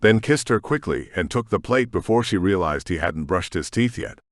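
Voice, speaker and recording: A man's voice: low-pitched, animated, normal speed, no echo, studio quality. A man reading scripted fiction as an audiobook narrator. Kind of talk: scripted sci-fi story narration.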